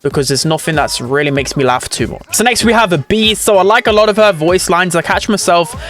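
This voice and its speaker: A man's voice: sits high, a little fast, a bit bright. A man hosting a gaming video, giving casual opinion commentary.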